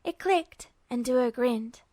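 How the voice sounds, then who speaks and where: A woman's voice: fairly high pitch, expressive, takes it slowly, warm tone, dry recording, clean studio sound. A woman reading scripted fiction aloud as a storyteller, narrating the action. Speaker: narrator, fan fiction reader.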